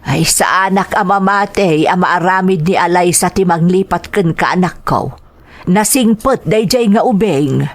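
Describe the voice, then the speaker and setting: Woman playing a gently reassuring mother. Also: on the low side, unhurried, close to an everyday voice. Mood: consoling. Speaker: voice actor in audio drama. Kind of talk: dramatized family radio-style drama.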